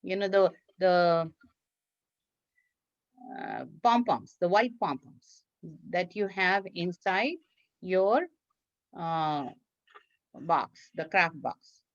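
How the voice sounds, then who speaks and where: Slow-paced woman teaching young children. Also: lowish voice, animated, no room sound. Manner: encouraging, praising, repeating instructions. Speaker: teacher leading a children's craft class. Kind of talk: instructional talk to young children, simple step-by-step. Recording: dull lo-fi online class audio.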